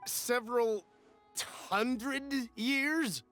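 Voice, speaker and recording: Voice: mid-range voice, drawn-out words, fairly bright tone, soft background music. An actor voicing an anxious, cautious merchant of modest means in an anime-style video game, fretful, hesitant and dramatic. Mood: startled.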